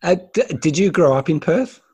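A man's voice: a little high, animated, moderate pace, dark tone, slightly rough, lo-fi sound. A man hosting a podcast interview, encouraging and lightly joking with his guest. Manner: encouraging, joking, frequent affirmations. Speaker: podcast host. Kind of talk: casual interview chat.

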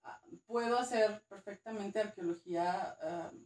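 A woman's voice: medium pitch, a little slow, a little mellow, echoey room, distant mic. A woman with a Mexican accent, a guest archaeologist chatting casually, hesitant and repeating words now and then. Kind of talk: casual interview chat.

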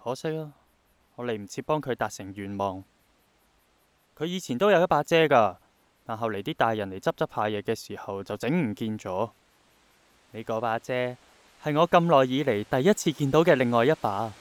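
Man acting as idealistic young dreamer, Hong Kong accent, gentle, reflective, radio drama delivery, mid pitch, very dry recording. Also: expressive, moderate pace, dry recording, natural voice. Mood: wistful. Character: idealistic, persistent young man longing for rain to return.

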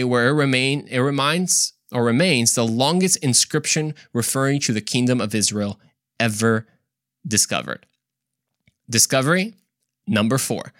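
A man's voice: medium pitch, fairly bright tone, no room sound. Playful male podcast host, close dry sound. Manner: playful, joking, with exclaimed asides and mimicked dialogue. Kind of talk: casual, conversational storytelling to listeners.

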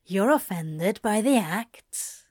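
A laid-back male demon, played by a woman, talks slowly in a medium-pitched voice. He is a teasing, coaxing tempter from a story, recorded close and dry. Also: lively intonation, darkish tone, no echo.

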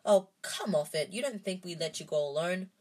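Casting note: cheeky teasing schoolboy, voiced by a woman, jumping to a squeaky mocking falsetto. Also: animated, moderate pace, darkish tone. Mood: scoffing.

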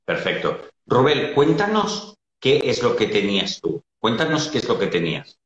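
A man's voice: on the low side, big pitch swings, moderate pace, fairly bright tone. Man interviewing over an echoey muffled line. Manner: brief prompting replies, hesitant fillers. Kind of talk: casual interview.

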